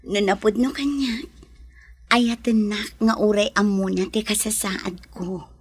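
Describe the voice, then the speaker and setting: An actress playing a gentle, frail young woman in love, with an Ilocano accent and soft, pleading delivery in a radio drama. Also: medium pitch, fairly even intonation, unhurried, close to an everyday voice. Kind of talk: Filipino radio drama acting.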